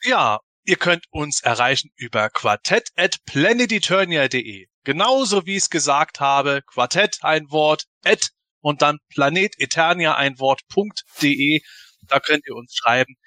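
A male co-host speaks informally to podcast listeners. His voice is clearly bright and forward, pitched slightly high. It is recorded dry and close, with no room sound. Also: expressive, unhurried, dry recording. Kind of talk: casual podcast chat.

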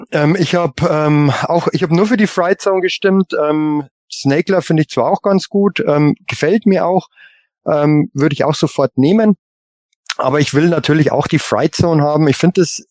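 A man chatting casually as a podcast panelist, giving his opinions in a halting way with repeated words. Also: a little high, darkish tone, dry recording. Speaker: podcast panelist on a toy collector show.

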